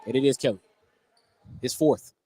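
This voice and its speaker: A man's voice: medium pitch, normal speed. A man doing live play-by-play radio commentary on a basketball game in clipped running descriptions. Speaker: play-by-play announcer. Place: indoor school basketball gym. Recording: noisy gym behind the voice. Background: loud gym noise, bouncing basketballs.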